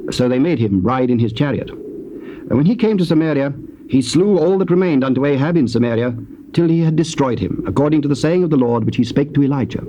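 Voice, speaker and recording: A man narrating dramatized scripture in a solemn, measured storytelling manner. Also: mid-range voice, animated, mellow. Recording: dull, noisy old cassette tape.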